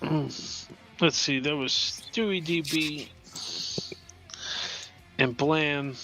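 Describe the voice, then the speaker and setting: A man hosting an online drone race, calling out pilots and teams in an unhurried way. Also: fairly high pitch, pushed and bright, faint music behind, poor audio. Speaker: race director and host.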